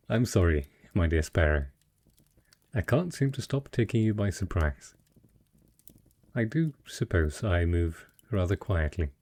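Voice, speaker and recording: Man acting a deep, husky, suave merchant lord. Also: warm tone, full character voice. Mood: amused, flirtatious. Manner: courtly, formal, smooth-talking flirtation. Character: wealthy male merchant patron, secretly a dragon, suave and possessive. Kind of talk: romantic fantasy audio roleplay addressed to the listener.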